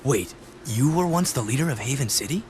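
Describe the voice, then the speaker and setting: An actor playing a tough, determined young hero in a video game, blunt and intense. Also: male voice, medium pitch, animated, a little fast, natural voice. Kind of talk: dramatic video game acting. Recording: loud music behind the voice. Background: loud music.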